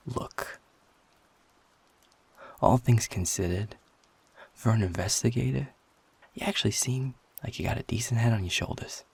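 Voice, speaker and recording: Man acting a low, husky, feisty werewolf. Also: slow, pauses often, darkish tone, no room sound, heavily acted. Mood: grudgingly advising. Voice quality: husky, gravelly. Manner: sardonic, blunt, swearing, confrontational. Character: feisty, guarded young male werewolf, sarcastic and defiant. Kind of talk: intimate audio roleplay acting.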